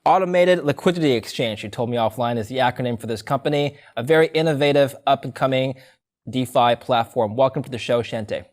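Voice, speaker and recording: Young male anchor, brisk and conversational, mid-pitched. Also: darkish tone. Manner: brisk, scripted-sounding introductions, conversational questions. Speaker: news anchor, interviewer. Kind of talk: news interview.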